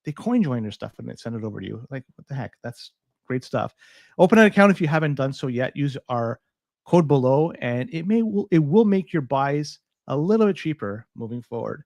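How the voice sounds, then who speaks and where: A man's voice: medium pitch, animated, a little fast, gravelly, darkish tone, dry recording, studio quality. A man hosting a podcast, chatting casually and reading sponsor spots in an upbeat, salesy way. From Canada. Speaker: podcast host. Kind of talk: casual podcast talk and live sponsor reads.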